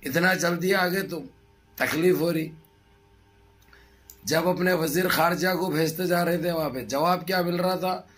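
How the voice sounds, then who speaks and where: Man, middle-aged, hoarse throat, impassioned political vlogger, repetitive rhetorical phrasing, music behind. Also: on the high side, steady tone, measured pace, long pauses, a bit bright. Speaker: news commentary vlogger. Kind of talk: impassioned political commentary addressed to viewers. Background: background music.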